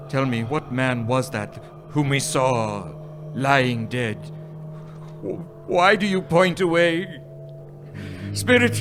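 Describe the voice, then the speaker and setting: An actor playing an old miser, pleading desperately and slowly with a ghost in a live radio play. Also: male voice, a little high. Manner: desperate, pleading, slow and grave.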